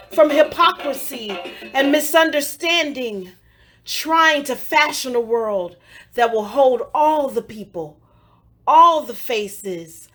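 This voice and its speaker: A woman reciting poetry in a solemn, dramatic style, with deliberate, measured phrasing and pauses between short phrases. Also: high-pitched, fairly bright tone. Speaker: poetry reader. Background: African drum music.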